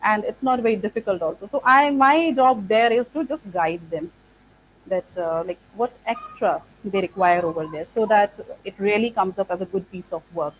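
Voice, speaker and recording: A woman whose first language is Punjabi, from India, answering interview questions as an institute founder. She speaks thoughtfully and fills her pauses with "like" and "uh". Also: mid-range voice, a little hiss or hum, low-quality recording. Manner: thoughtful, many "like" and "uh" fillers. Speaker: founder of an English training institute.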